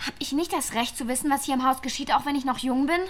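A woman's voice: high-pitched, fast, close to an everyday voice. Woman playing an earnest young girl over music. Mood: hurt, indignant. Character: earnest young girl narrating her own story. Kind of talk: radio drama narration and dialogue.

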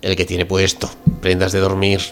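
A man's voice: low voice, monotone, moderate pace, lightly acted. A man playing a courteous, fussy, deferential ship's doctor in a radio drama, speaking formally and politely. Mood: polite, apologetic. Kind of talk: amateur radio drama reading.

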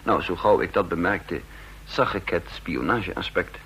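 A shrewd, dry spy chief. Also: male voice, low voice, unhurried, fairly bright tone, breathy edge, exaggerated voice, low-quality recording. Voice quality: dry, self-assured, ironic. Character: shrewd male counterintelligence chief, cool. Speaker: narrator and lead character. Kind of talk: vintage radio drama acting.

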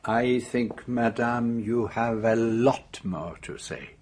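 A shrewd detective in a radio drama. He is formal and probing, with a medium-pitched, slightly husky voice. He speaks deliberately and will not bend. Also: steady tone, darkish tone. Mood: stern, probing. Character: shrewd, unbending male detective questioning suspects.

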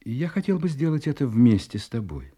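Husky, menacing, controlling husband. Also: male voice, medium pitch, very animated, a little fast, mellow. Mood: insistent, suspicious. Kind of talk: Russian audio drama acting.

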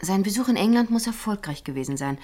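A woman's voice: medium pitch, expressive, fast, dark tone, lightly acted. An actress playing a self-possessed, guarded businesswoman in a radio crime play, articulate and matter-of-fact. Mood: composed, explaining. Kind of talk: 1970s radio play dialogue.

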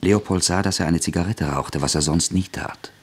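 Very deep, husky male narrator. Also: normal speed. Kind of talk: scripted literary narration for radio drama.

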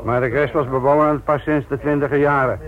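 An actor playing a blunt, sardonic, middle-aged police inspector lecturing trainees in a Dutch radio play. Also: male voice, medium pitch, steady tone, fast, lightly acted. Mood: brisk, scornful. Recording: tinny, noisy old radio play recording.

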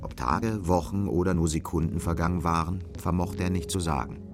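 A man's voice: low voice, fairly quick, over music. A man narrating a scripted literary radio drama.

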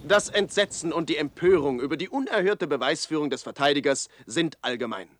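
A man acting an indignant editorial commentator in a radio play. The voice is slightly high and pompously declamatory. The sound is dry, without room. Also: animated, brisk, dry recording, close to an everyday voice. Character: indignant conservative male public commentator.

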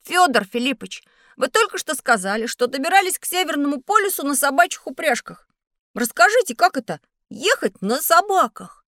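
A woman's voice: high voice, fairly quick, no echo, clean studio sound, heavily acted. A woman voicing a curious, cheeky schoolboy in a scripted children's educational audio play. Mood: eager. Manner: inquisitive, expressive questioning. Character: curious busy schoolboy Petya, cheeky and eager.

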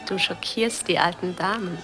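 Radio drama actress as cautious, resigned woman: lowish, subdued voice over prominent music. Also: moderate pace, lightly acted. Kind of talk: naturalistic radio drama acting.

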